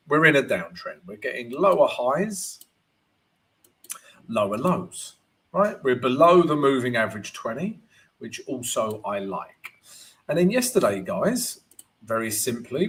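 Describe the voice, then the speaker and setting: A man with a gravelly voice today, hosting a live trading stream and walking viewers through charts. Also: medium pitch. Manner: animated, keeps asking "right?", greets chat viewers. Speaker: forex trading livestream host.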